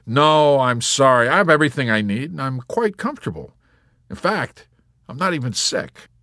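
A man voicing a brash, excitable wartime airman in an audiobook, exclamatory and emphatic. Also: medium pitch, unhurried, no room sound, clean studio sound, pitched above the performer's own voice. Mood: eager, emphatic.

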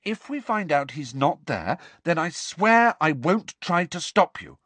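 A man's voice: fairly high pitch, big pitch swings, moderate pace, breathy edge, dry recording, voice pushed up. A man voicing an anxious, insistent, clever teenage girl in an audiobook, pleading and precise. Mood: desperate, pleading. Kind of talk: audiobook character voice.

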